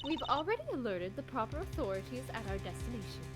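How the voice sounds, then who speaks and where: A woman's voice: fairly high pitch, very animated, fast, over loud music, pitched below the performer's own voice. A woman voicing a polite, anxious but insistent flight attendant with a courteous, official manner in a game fan dub. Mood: firmly accusing.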